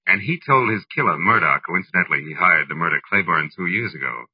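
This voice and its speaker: Deep-voiced hardboiled American detective. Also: male voice, animated, fast talker, breathy edge, dry recording, recorded from a distance, heavily acted. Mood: matter-of-fact. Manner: clipped, terse, slangy. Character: tough, weary hardboiled private detective, male narrator. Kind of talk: 1940s radio drama acting and narration. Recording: dull, lo-fi old radio recording.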